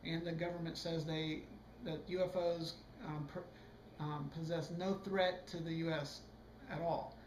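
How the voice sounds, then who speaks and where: A hoarse man giving a casual, rambling video book review, full of fillers and restarts. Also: fairly high pitch, level tone, unhurried, reverberant, slight background noise, poor audio.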